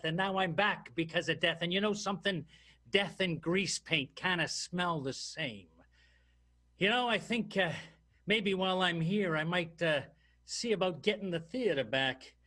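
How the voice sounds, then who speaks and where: A man playing a brooding, slightly dim New York police detective, musing haltingly in an improvised radio soap. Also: high voice, sharp tone. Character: brooding, slightly dim hard-boiled NYPD homicide detective.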